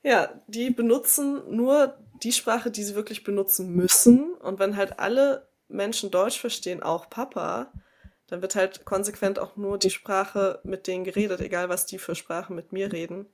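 A woman's voice: mid-range voice, normal speed, a little mellow. A woman in her thirties hosting a casual podcast chat, explaining patiently and giving examples in a lecturing way. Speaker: podcast host, speaker on multilingual upbringing.

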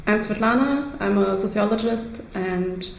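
Young female interviewee, informal and halting, mid-range voice, roomy recording. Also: mid-range voice, gravelly. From Germany, born in Ukraine. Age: young adult. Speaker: sociologist and peace activist interviewee. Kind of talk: personal account in an interview. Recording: echoey room with faint noise.

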